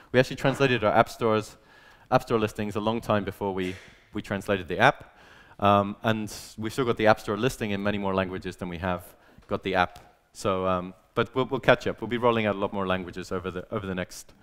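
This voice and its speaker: A man's voice: lowish voice, a bit bright, smooth. A young man giving a casual presentation talk as a conference speaker, conversational and full of fillers and restarts.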